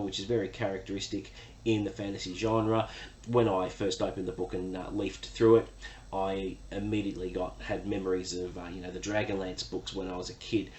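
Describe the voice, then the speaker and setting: Deep nasal guy with a cold chatting about a book, echoey room, faint hiss. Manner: enthusiastic, measured, frequent uh fillers. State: getting over a cold. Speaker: book reviewer. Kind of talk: casual spoken review.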